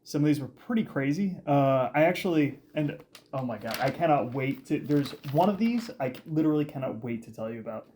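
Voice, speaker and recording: Podcast cohost guy rambling on all excited, lots of uhs and restarts. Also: medium pitch, brisk, slight background noise. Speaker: podcast co-host. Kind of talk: casual chat.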